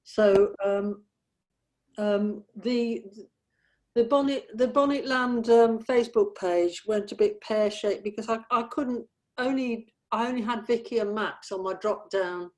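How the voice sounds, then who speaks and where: A woman's voice: fairly high pitch, a little slow, a little mellow, low-quality recording. A woman talking informally and a little hesitantly as an organiser in a production meeting. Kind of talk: casual production meeting talk.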